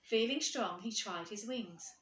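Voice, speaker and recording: A woman reading a storybook to children, expressive and putting questions to her young listeners. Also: mid-range voice, a little slow. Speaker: primary school teacher reading aloud. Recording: echoey room.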